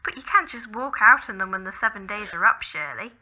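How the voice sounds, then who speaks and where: A woman's voice: mid-range voice, expressive, fast talker, pushed and bright, rough recording. An actress playing a teasing, knowing woman in an audio drama, playfully pressing her point. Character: teasing, knowing woman, needling a close friend.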